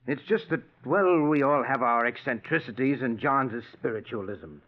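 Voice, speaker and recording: An actor playing a stubborn, skeptical middle-aged financier in an old radio drama, blustery and emphatic. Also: male voice, medium pitch, normal speed, lightly acted. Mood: exasperated. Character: stubborn skeptical financier, father of grown daughter. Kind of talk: 1940s radio acting. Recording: dull old radio recording.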